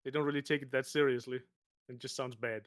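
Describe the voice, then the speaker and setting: A man's voice: medium pitch, fairly even intonation, fast, dry recording, studio quality. A man in a casual chat, speaking hesitantly with slightly non-native phrasing. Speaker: podcast co-host.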